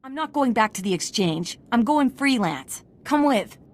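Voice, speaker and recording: A woman's voice: a little high, lively intonation, moderate pace, natural voice. Actress as a snarky merc girl in a game, brash, flippant, super casual. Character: brash, sardonic female mercenary. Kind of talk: video game voice acting.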